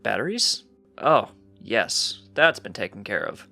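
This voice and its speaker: A man's voice: fairly low pitch, very animated, a little slow, creaky, bright, forceful tone, faint music behind, full character voice. A man voicing a distracted, breezy male unicorn scientist, a doting husband in a storybook reading.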